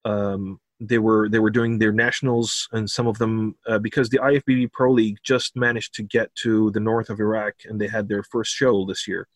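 A man's voice: fairly low pitch, normal speed, few pauses, no echo. American-sounding guy, bodybuilding coach, laid back, laughs a lot, dry sound. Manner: relaxed, laughs often, repeats words. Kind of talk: casual podcast interview.

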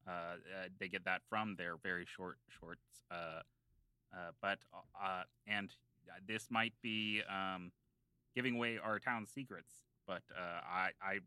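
Man, very bright sharp tone, dry close sound, halting, mock-earnest, improvised podcast banter. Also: deep voice, clean tone, no echo. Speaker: podcast co-host.

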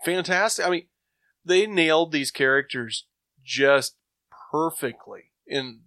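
Eager guy talking about old radio shows, bright voice, close dry mic. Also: on the high side, animated, no room sound. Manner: enthusiastic, rambling, with thinking pauses. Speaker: podcast host. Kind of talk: casual chat.

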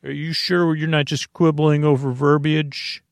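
A man with a creaky voice hosting a sleep podcast, rambling through a goofy, self-deprecating bedtime monologue with halting repeats, drawn-out words and droning tangents. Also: on the high side, little pitch movement, no room sound, clean studio sound. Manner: meandering, halting, repetitive, with trailing tangents.

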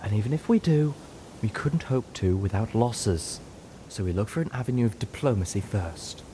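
A man voicing a refined female unicorn with a prim, measured and cutting way of talking, in an audiobook. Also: fairly low pitch, lively intonation, warm tone, a little hiss or hum, close to an everyday voice. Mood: calm. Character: refined female unicorn, sharp-tongued then kind.

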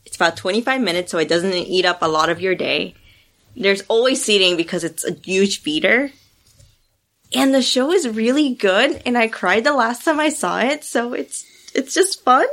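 A woman's voice: medium pitch, expressive, normal speed, a bit bright. Upbeat woman giving tips. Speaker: guest. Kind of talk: casual chat.

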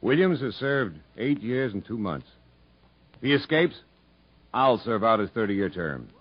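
A man's voice: lowish voice, big pitch swings, unhurried, breathy edge, exaggerated voice. A man acting a blunt, loyal, plainspoken prison warden in a 1950s radio drama. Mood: firm, resolute. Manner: earnest, firm, blunt. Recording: muffled 1950s radio broadcast with music.

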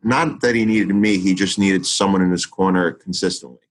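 Man, forties, very low voice; an earnest teacher thinking aloud in conversation, full of restarts. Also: steady tone, normal speed. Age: 40s. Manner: earnest, reflective, many restarts and fillers. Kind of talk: interview conversation.

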